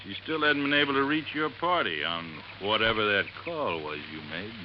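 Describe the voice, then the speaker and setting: An actor playing a friendly, eager helper in a 1950s radio drama, folksy in manner. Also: male voice, fairly low pitch, animated, moderate pace, lo-fi sound, natural voice. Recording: noisy old radio broadcast.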